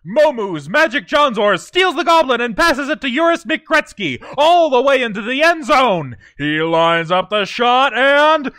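Super high excitable sports announcer, swoopy breathless play by play, fantasy game parody. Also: male voice, measured pace, hardly any pauses, no room sound, full character voice. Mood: excited. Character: excitable high-pitched sports commentator calling dwarf ball.

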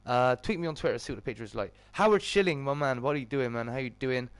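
Slightly croaky British man chatting on stream. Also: mid-range voice, quick pace, no echo. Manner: chummy greetings to viewers. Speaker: live streamer and host. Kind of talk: casual livestream chat with viewers.

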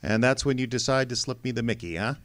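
An actor playing a tough, wry, unflappable private detective with clipped, sardonic delivery in an old-time radio drama. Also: male voice, medium pitch, fast talker, fairly bright tone, close to an everyday voice. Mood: cool, wry. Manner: clipped, hard-boiled, sardonic.

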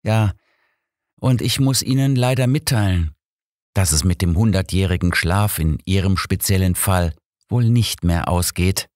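A man's voice: low-pitched, a little slow, darkish tone, no echo, studio quality. A man voicing a sadistic, vengeful killer who speaks with cold scorn and mockery in an audiobook thriller. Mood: cold, gloating. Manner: scornful, taunting. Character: sadistic, vengeful male killer, coldly mocking.

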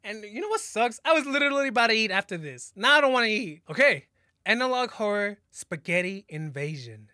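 A man's voice: high-pitched, bright, forceful tone. A man in his twenties with an American accent giving casual, slangy, exclaiming reaction commentary. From California, United States. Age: 20s. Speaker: reaction video host. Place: small indoor room at a gaming setup. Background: music.

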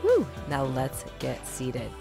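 A woman's voice: a bass voice, wildly swooping pitch, slow, vocal fry. A woman in her forties giving an upbeat, enthusiastic food review in a casual vlog style. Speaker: vlog host. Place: busy casino buffet restaurant, indoors. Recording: loud music and restaurant crowd noise. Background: loud music, crowd chatter.

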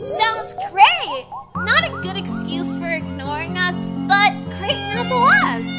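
Brash young tomboy princess, melodramatic and indignant, slow delivery, very animated pitch. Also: female voice, full character voice. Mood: eager. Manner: whiny, dramatic, indignant. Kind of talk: comic dub cartoon acting. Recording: loud music behind the voice.

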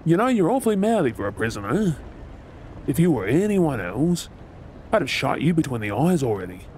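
Aussie guy doing a bossy menacing pirate captain for an audio roleplay, pitch all over the place, some ship ambience noise behind. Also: big pitch swings, fairly quick, a little mellow. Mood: sardonic, threatening. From Australia. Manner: commanding, swaggering, sardonic. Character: gruff, menacing male pirate captain, secretly soft-hearted. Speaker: ASMR role-play performer. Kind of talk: dramatic audio role play. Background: ship ambience sound effects.

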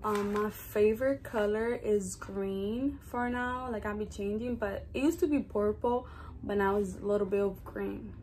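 A young woman vlogger from the Dominican Republic, with a Dominican accent and Spanish as her first language. She talks casually and chattily at a medium pitch, straight to camera. A faint layer of room noise sits behind her voice. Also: a little slow, darkish tone. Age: early 20s. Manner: chatty, informal, conversational asides. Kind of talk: casual get-to-know-me vlog talk to camera.

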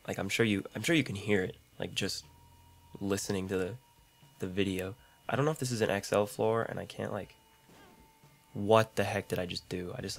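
Brief: young male gaming YouTuber, low deep voice, slightly rough throat, rambling self-deprecating chatter, condenser mic at home. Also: a bit bright. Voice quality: deep voice, mouth clicks. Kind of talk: casual gameplay commentary. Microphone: large condenser studio mic.

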